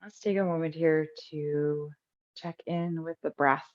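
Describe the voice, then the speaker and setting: A woman teaching a guided breathing meditation in a calm, unhurried way with long pauses between instructions. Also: deep voice, lo-fi sound.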